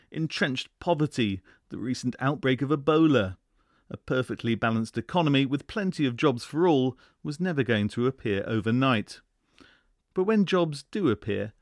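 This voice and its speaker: A man narrating a scripted radio news report in a measured, even delivery. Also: medium pitch, dry recording, studio quality. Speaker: radio correspondent.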